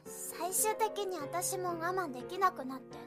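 Super high voiced schoolgirl, nags politely but bluntly, anime style drama, music behind. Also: expressive, a little slow. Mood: earnest, reproachful. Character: earnest, scolding high school girl, younger club member. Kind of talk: anime-like Japanese audio drama acting.

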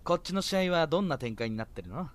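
A young man acting a breezy, easygoing, nosy older high school boy in an audio drama. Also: big pitch swings, fast talker. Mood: calm, inquisitive.

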